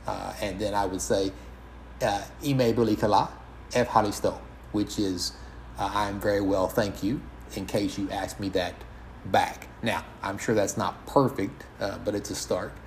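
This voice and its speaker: A man hosting an informal solo podcast in a halting, measured way. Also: on the low side, flat delivery. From United States. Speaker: podcast host. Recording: audible background noise.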